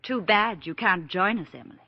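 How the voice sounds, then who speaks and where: A woman's voice: mid-range voice, brisk, lightly acted. Woman acting a genteel young lady, muffled radio drama. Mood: cold, guarded. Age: young adult. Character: well-off young woman, hopeful but guarded. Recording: muffled old radio recording.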